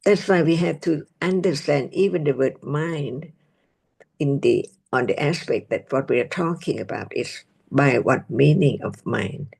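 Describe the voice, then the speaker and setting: A woman teaching Buddhist ideas in English with a Thai native tongue, asking slow, probing questions. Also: rumbling low, animated, gravelly, a little mellow, slightly rough. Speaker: Dhamma teacher. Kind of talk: teaching discussion.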